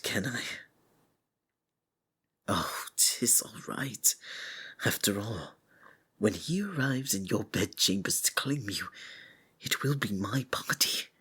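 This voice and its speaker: A man playing a sinister, seductive cult preacher in an intimate ASMR roleplay, husky and breathy, often sinking into whispers, with archaic, formal endearments. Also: lowish voice, sharp tone, heavily acted.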